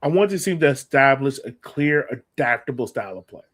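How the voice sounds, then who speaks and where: A man's voice: mid-range voice, animated, fairly quick, a bit bright. A man hosting a sports podcast, giving casual fan commentary with playful, acted-out banter.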